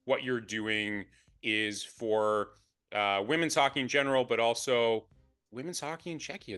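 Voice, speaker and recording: A man's voice: mid-range voice, sharp tone, smooth. Upbeat male podcast host. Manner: enthusiastic, upbeat. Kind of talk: casual interview.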